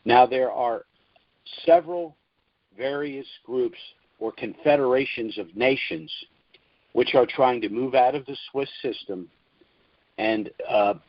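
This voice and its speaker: A man hosting a financial conference call, giving an informal briefing in a measured, explanatory manner with formal wording. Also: medium pitch, no echo. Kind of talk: informal prepared briefing to listeners. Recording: dull, lo-fi conference call audio.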